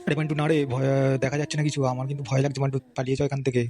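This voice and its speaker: Man voicing fast-talking cowardly cartoon friend. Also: medium pitch, level tone, crowd noise, lightly acted. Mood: frightened, urgent. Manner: anxious, hurried. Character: Kyabla, timid whining cowardly young cartoon friend. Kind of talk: comedy cartoon voice acting. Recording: noisy background with clicks.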